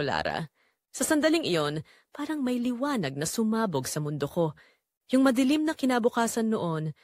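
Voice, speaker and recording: A Filipino woman tells a dramatic first-person story with an emotive, confessional delivery. Her pitch moves in lively swings, and the recording is dry and close, with no room echo. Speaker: narrator of an audio drama.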